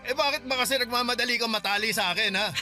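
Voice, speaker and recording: An actor playing a drunk, defensive boyfriend who dodges commitment in a radio drama. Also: male voice, very high voice, racing, music in the background. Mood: irritated. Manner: defensive, dismissive. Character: Gerald, evasive drunk boyfriend.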